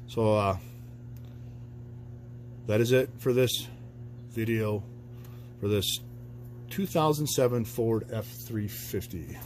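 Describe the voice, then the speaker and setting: A man's voice: fairly low pitch, slow, pauses often, slight background noise. A man working as an auto mechanic, talking through a repair in a loose, rambling way with plenty of fillers. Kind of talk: casual repair walkthrough. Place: garage, under a truck.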